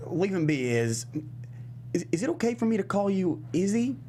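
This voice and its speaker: A man's voice: high-pitched, sing-song, moderate pace, dark tone, slight background noise. Kindly, awkward older intern played by a man. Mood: concerned, awkward. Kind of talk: comedy script table read.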